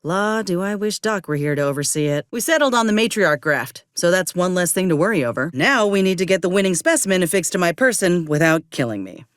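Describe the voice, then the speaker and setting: A woman's voice: fairly low pitch, lively intonation, a little fast, hardly any pauses, no echo, clean studio sound, close to an everyday voice. An actress playing an earnest, determined young woman soldier with folksy rural turns of phrase in a video game. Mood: thoughtful, practical. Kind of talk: video game character dialogue.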